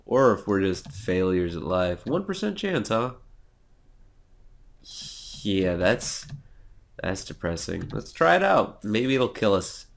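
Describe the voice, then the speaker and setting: A man's voice: fairly low pitch, sing-song, slow, vocal fry. Sarcastic young man with a cold. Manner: sarcastic, self-mocking, swears. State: has a cold, nasal and sniffly. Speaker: let's play host. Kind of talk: casual gameplay commentary.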